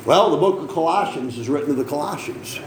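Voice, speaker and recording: A man's voice: fairly high pitch, expressive, fast, echoey room, a little hiss or hum. A man preaching a sermon, reading scripture aloud and explaining it in a conversational way, with frequent questions to the congregation and joking asides. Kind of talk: sermon, Bible reading and teaching.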